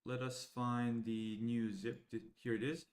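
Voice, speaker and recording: Young Serbian-born man, native Serbian speaker, walking viewers through code while thinking aloud in halting steps, mid-range voice. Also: medium pitch, flat delivery. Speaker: AI educator. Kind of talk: live coding walkthrough.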